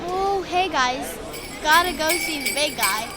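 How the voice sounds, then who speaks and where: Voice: sits high, animated, a little slow, a loud crowd, very noisy. A performer playing an earnest, naive, talkative little boy in a family audio drama, eager and guileless. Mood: chatty. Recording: prominent music behind the voice.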